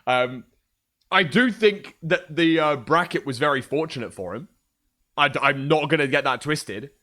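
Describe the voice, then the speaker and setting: A young man with a hoarse voice, chatting casually and joking on a livestream. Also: on the high side, animated, normal speed, pushed and bright. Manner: joking, trash-talking, swears a lot. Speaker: streamer.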